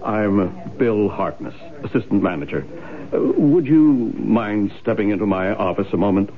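An actor playing a polite, evasive assistant bank manager, hesitant and placating, in 1970s radio drama style. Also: male voice, lowish voice, lively intonation, moderate pace, lightly acted. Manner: hesitant, smoothly formal, placating. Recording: tinny, noisy old radio broadcast.

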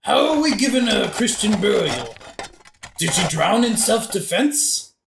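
Performer as drunken gravedigger, cheeky, joking, argumentative, swooping pitch, roomy echo, comic audio drama. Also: big pitch swings, gravelly. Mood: mock-logical. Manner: argumentative, mock-logical, joking, sometimes singing slowly. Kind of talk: modern-language comic audio drama acting. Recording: roomy echo, faint noise.

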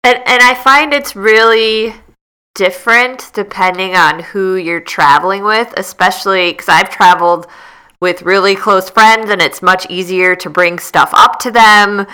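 A woman's voice: medium pitch, a little slow, clear, resonant tone, low-quality recording. A woman hosting a travel podcast, chatting casually and sharing personal travel stories. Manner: relaxed, shares personal stories. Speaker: podcast host. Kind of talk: casual chat.

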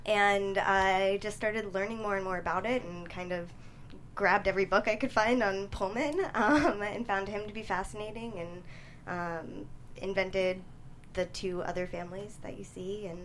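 A woman with a medium-pitched voice talks as an interviewed screenwriter. She is informal and hesitant, and there is clear background noise. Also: steady tone, moderate pace, fairly bright tone. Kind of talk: casual interview talk.

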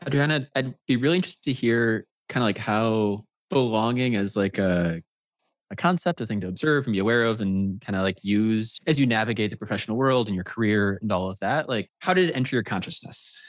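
A man's voice: on the low side, fairly quick, dry recording. A man hosting a podcast interview, asking long rambling questions packed with "like" and "kinda". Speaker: podcast host.

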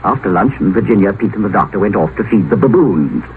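An actor playing a timid, fussy, bookish scholar with a hesitant, donnish manner in a 1950s radio drama style. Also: male voice, on the low side, expressive, fast talker, close to an everyday voice. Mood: measured narration. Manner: hesitant, donnish, mildly delighted. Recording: tinny, slightly noisy old radio broadcast.

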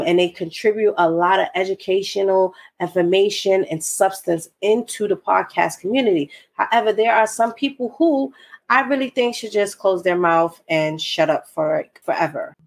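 A woman hosting her own podcast, nasal and congested from a cold, talking candidly and emphatically to her listeners. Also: fairly low pitch. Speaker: podcast host. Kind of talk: solo podcast talk.

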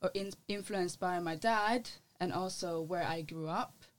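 Relaxed young woman musician from Sweden. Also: fairly low pitch, unhurried. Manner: relaxed, rambling, fills with "like". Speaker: guest, musician and model. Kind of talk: casual interview chat.

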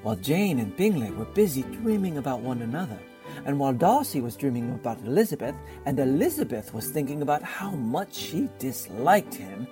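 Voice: mid-range voice, big pitch swings, a little fast, loud music behind the voice. A narrator reading scripted story links in a radio drama.

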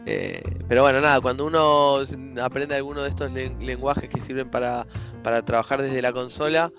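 Guy, mid-pitch, loose casual tech chat, lots of "eh", faint music under it. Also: a little fast. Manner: relaxed, many fillers and restarts. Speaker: co-host.

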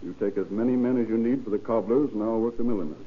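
Low-voiced brisk detective, tinny radio. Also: male voice, husky. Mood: businesslike. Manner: curt, businesslike, clipped. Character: brisk, impatient male police detective. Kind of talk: 1930s radio acting. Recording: tinny, hissy old radio recording.

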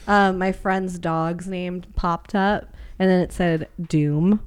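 A woman's voice: lowish voice, steady tone, slow, clear, resonant tone. A woman co-hosting a podcast, chatting casually and sharing anecdotes in a hesitant, loosely phrased way. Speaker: podcast co-host. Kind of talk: casual chat.

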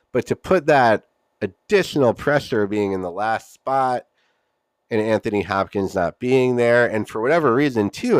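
A man's voice: on the low side, smooth, no room sound. A man hosting a solo podcast, giving casual, opinionated commentary in an emphatic, conversational way. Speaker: podcast host.

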